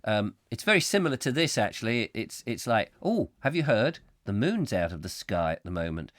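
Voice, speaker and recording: A slightly hoarse man hosting a comic live chat show, rambling whimsically and mocking himself as he reads out viewer comments. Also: lowish voice, sing-song, normal speed, fairly bright tone, no room sound, clean studio sound. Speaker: host.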